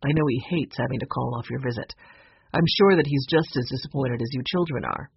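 A storybook narrator voicing a kindly, calm, businesslike older man who is a grandfather. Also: medium pitch, brisk, gravelly, slightly rough, dry recording, lo-fi sound. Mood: gentle, regretful.

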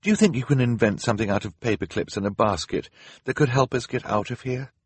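A man voicing a bookish middle brother who argues his point with urgent worry, in a husky voice. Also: medium pitch, fast, dry recording, natural voice. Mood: worried. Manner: hesitant, then urgent and persuasive.

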